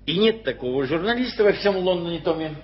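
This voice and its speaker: An older actor playing a fussy, kindly newspaper editor who rambles wistfully, in a radio play. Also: male voice, a little high, lively intonation, fast, husky. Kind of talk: 1980s radio play acting. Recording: dull old radio play recording.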